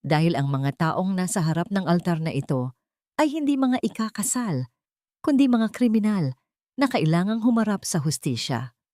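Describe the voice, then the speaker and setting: A Filipino-accented woman voicing a cold, commanding wronged wife in an audiobook drama, deliberate and accusing. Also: on the low side, sing-song, warm tone, dry recording, studio quality.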